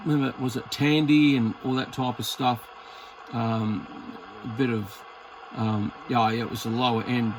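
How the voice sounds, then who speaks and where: An Australian man giving casual hands-on commentary as a hobby reviewer, rambling informally and thinking out loud. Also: mid-range voice, poor audio. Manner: rambling, informal, thinking aloud with mild swearing. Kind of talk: casual unboxing and test commentary. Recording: noticeable background noise.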